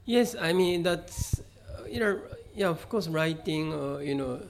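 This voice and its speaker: A middle-aged man from Japan with a Japanese accent, answering interview questions about his art in a halting way, with many fillers and restarts. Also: on the high side, expressive, gravelly, background noise. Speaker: visual artist, interview guest. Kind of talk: conversational interview.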